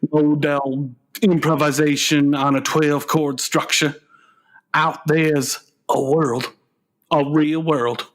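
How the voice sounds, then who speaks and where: A man playing a sulky, slow-talking grown son. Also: on the high side, fairly bright tone. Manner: earnest, melodramatic complaining. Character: Biff, sulky grown son, self-pitying would-be country singer. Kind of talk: comic radio play acting.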